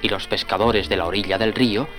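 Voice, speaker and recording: A man's voice: on the low side, fairly even intonation, brisk, music in the background. A man narrating a scripted children's tale in a storytelling style.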